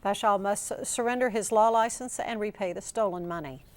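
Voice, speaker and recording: A woman's voice: medium pitch, moderate pace. A woman reading scripted television news as an anchor in a studio.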